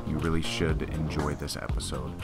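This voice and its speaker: Very deep-voiced male host over music. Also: flat delivery, moderate pace. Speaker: podcast host. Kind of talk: informal spoken introduction. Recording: music behind the voice.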